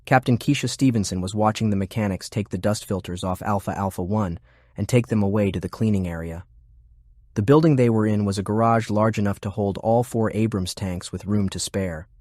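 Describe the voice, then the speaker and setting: A man's voice: lowish voice, fairly quick, darkish tone, no room sound, studio quality. A man reading scripted narration of military fantasy fiction as an audiobook narrator.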